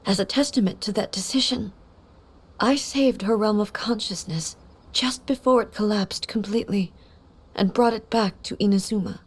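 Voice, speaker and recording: A woman playing a solemn, grieving immortal ruler in a video game, speaking formally and softly with measured composure. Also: mid-range voice, breathy edge, lightly acted. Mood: sorrowful. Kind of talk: dramatic video game voice acting.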